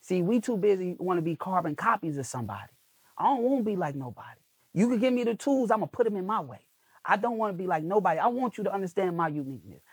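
A man's voice: high-pitched, big pitch swings, fast talker, slightly rough, dry recording. A man speaking as a guest on a podcast, coaching people on making video content in an emphatic, preachy way with lots of repetition. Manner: emphatic, preachy, repeats phrases. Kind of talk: motivational podcast interview.

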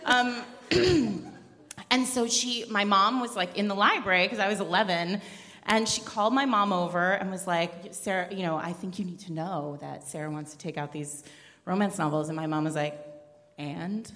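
A woman's voice: lowish voice, moderate pace, a bit bright. A woman chatting playfully as co-host of a live podcast, telling things in a casual, joking way. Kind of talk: casual comic chat before a live audience. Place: indoor hall at a live podcast event. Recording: echoey hall, live event.

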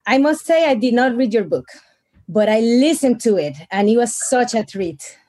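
A woman's voice: on the high side, lively intonation, moderate pace, a little mellow. A woman from the Dominican Republic, an audience member politely and eagerly asking a question.

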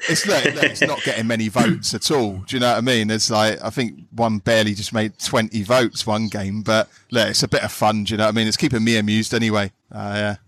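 A man's voice: fairly low pitch, fairly even intonation, fairly quick, few pauses, fairly bright tone, no room sound. An English man, a podcast guest, chatting casually and telling stories. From England. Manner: chatty storyteller, says "do you know what I mean" often.

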